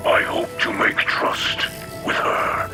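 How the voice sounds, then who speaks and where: Guy doing a solemn old alien game character, raspy breathy, real slow and formal, big music behind. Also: natural voice. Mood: grave, hopeful. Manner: formal, deliberate, halting phrasing. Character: solemn, devout alien elder of an insectoid race. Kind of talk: cinematic video game dialogue. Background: loud game soundtrack.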